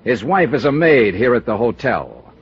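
Casting: tough, sardonic detective, medium pitch, animated delivery, vintage radio serial, dull sound. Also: male voice, husky, exaggerated voice. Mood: accusing, sardonic. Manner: brisk, sardonic, hard-boiled. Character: blunt, tough male investigator narrating his own case. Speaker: lead actor and narrator. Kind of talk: old-time radio detective drama.

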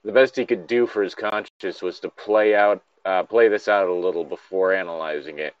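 A man's voice: deep voice, moderate pace, dry recording, muffled recording. A man casually reading a story aloud and commenting on it in an exasperated, sweary way. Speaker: co-host reading and mocking an online horror story.

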